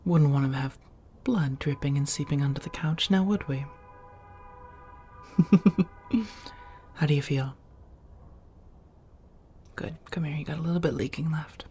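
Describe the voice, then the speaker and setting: A woman playing an affectionate, teasing vampire roommate in a soft-spoken ASMR roleplay, breathy and intimate, often half-whispering. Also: sits low, unhurried, long pauses, slight background noise. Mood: tender, satisfied. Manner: intimate, teasing, coaxing with pet names.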